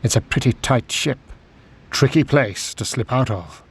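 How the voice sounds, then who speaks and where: An actor playing an affable, understated British major in a video game, clipped and gentlemanly. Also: male voice, mid-range voice, animated, unhurried, husky, heavily acted. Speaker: escape officer. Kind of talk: video game cutscene acting.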